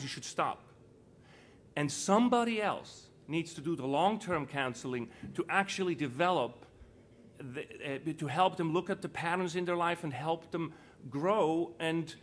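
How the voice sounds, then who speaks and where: A middle-aged man from Switzerland giving an informal conference speech, earnest and emphatic, with rhetorical questions and repetition for stress. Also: a little high. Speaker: panel speaker, entrepreneur and foundation co-founder. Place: indoor conference panel, live audience. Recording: live event room with clear background noise.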